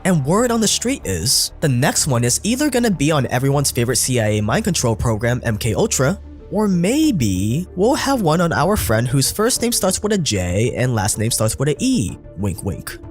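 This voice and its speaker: Lively sarcastic male narrator, a bit sick. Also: mid-range voice, normal speed, faint music behind. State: sounds sick. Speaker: documentary narrator. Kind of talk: scripted documentary narration.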